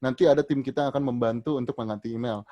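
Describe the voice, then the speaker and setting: A male trainer answers questions from an audience during an online session. He talks in a loose, explaining way, full of fillers and restarts, and his voice jumps higher and louder whenever he starts a new answer. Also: fairly even intonation, rapid-fire, highs cut off.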